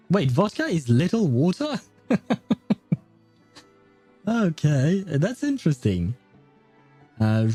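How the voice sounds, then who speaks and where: A man's voice: medium pitch, dark tone. A young man chatting casually on a game livestream, thinking aloud and laughing often as he reads and reacts. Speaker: gaming livestreamer.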